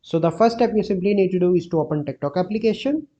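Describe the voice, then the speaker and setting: A man with a somewhat high, mellow voice guides viewers through an app one step at a time. He speaks as a tutorial host giving plain instructions. The recording is a slightly dull, lo-fi voice-over. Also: fast talker.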